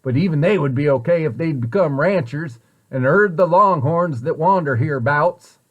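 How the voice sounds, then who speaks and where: A man's voice: a little high, lively intonation, moderate pace, muffled recording. A man voicing a folksy, plainspoken Texan drifter in a children's storybook tall tale. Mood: frank, folksy.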